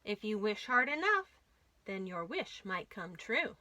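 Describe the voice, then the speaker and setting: A woman voicing a weary, wistful female caboose who longs for quiet in a children's storybook. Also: mid-range voice, very animated, unhurried, dry recording. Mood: wistful sighing. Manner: sighing, complaining. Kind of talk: children's storybook read-aloud.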